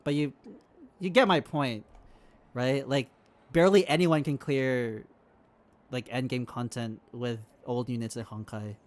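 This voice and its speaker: A man's voice: mid-range voice, unhurried, long pauses. A young adult man streaming a game, chatting casually in a rambling, sweary way. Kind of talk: casual chat while playing.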